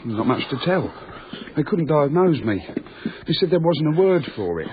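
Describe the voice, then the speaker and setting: A man's voice: a little high, very animated, normal speed. A tinny-sounding, matter-of-fact man in radio drama. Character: calm, odd tunnel maintenance worker, fond of dark places. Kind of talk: naturalistic radio drama acting. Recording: tinny, noisy radio drama broadcast copy.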